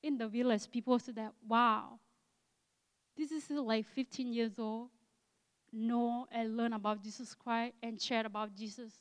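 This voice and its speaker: A woman in her sixties with an Akha Thai accent gives a church talk in English that is not her first language, pausing to find words. Her voice sits a little high and comes through smooth and clear. A long reverb trails after her in the hall. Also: steady tone. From Thailand. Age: 60s. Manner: halting, searching for words, frequent fillers. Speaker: guest missionary speaker.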